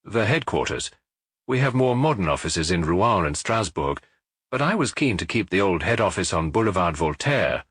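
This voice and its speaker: A man's voice: sits low, very animated, a bit bright, slightly rough, no echo, clean studio sound, exaggerated voice. Man playing a wheezing, broken French industrialist. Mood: subdued, apologetic. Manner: halting, defensive answers. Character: broken middle-aged French industrialist, defensive, distraught, proud.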